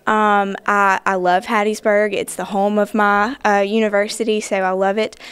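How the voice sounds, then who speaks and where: A woman's voice: medium pitch, flat delivery, moderate pace, a bit bright, clean tone, no room sound. Young woman, pageant contestant, friendly, slight hesitations, dry recording. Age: young adult. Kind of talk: casual self-introduction.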